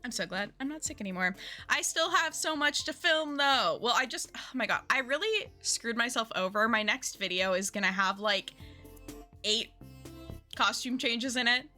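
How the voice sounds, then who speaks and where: Young woman streamer, bubbly and self-mocking, lively swooping delivery, a bit phlegmy from a cold. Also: fairly high pitch, moderate pace, faint music behind. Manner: chatty, giggly, self-deprecating. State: slightly phlegmy, lingering cough. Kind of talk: casual stream chat.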